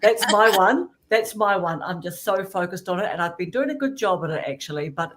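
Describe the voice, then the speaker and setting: Chatty lady on a video call, animated, lots of you know and like. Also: lowish voice, a little fast, background noise. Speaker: small creator on a panel. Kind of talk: casual online roundtable chat.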